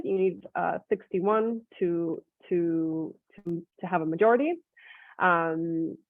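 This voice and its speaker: A woman's voice: fairly low pitch, no room sound. A woman with a mixed Scottish and Israeli accent, giving an explanatory webinar talk with many filler ums. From Scotland. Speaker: guest speaker, communications director. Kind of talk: webinar presentation. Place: home or office in Israel. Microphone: video call. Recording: dry, tinny phone-like line.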